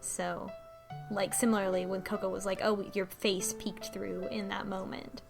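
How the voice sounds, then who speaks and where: A woman co-hosting a podcast, giving a casual, rambling recap with animated retellings and music behind her. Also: mid-range voice, normal speed, gravelly. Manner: animated retelling with mock quotes. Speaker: podcast co-host recapping a game.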